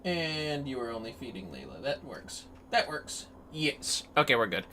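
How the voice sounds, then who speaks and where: A man's voice: medium pitch, very animated, moderate pace, pushed and bright, slight background noise. A man giving casual, playful, sweary commentary on a gaming livestream, prone to exclamations.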